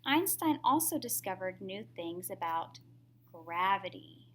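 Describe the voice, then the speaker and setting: A woman's voice: medium pitch, very animated, measured pace, slight background noise. A woman reading a picture book aloud to young children in a teacherly way, often putting questions to the listener.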